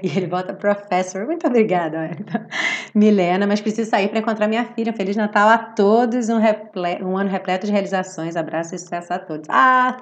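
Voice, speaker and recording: A woman with a Brazilian accent, hoarse from a cold, chatting expressively and affectionately as she hosts a live online class. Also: mid-range voice. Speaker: English teacher hosting a live online music-based class. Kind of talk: informal livestream chat with viewers.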